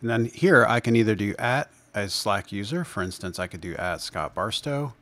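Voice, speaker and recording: A man presenting a software demo in an unscripted screencast, explaining step by step in a measured way. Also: fairly low pitch, animated. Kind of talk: screencast tutorial narration, unscripted.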